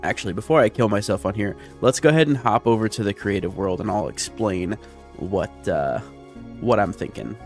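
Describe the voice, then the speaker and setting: A man's voice: fairly low pitch, lively intonation, moderate pace. Chatty man narrating gameplay over music. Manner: chatty, explains plans step by step. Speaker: gaming video creator. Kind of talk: casual gameplay commentary. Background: background music.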